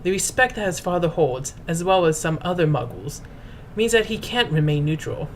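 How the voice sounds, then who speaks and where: A woman's voice: on the low side, animated, moderate pace, a little hiss or hum. A woman host reading aloud as a dramatic narrator, in a pompous, mock-grand and formal manner. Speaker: host and reader. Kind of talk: theatrical dramatic reading of fan fiction.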